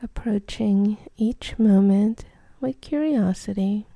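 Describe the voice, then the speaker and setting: Slow, very soft, dark-toned woman guiding meditation. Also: medium pitch, gravelly, dry recording. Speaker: meditation guide. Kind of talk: guided meditation.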